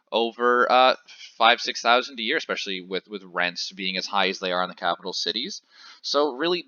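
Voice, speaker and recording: A man's voice: fairly low pitch, sharp tone, dry recording, highs cut off. A man in his twenties from Canada explaining investing in a casual, chatty way, with frequent fillers and self-corrections. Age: 20s. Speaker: finance educator.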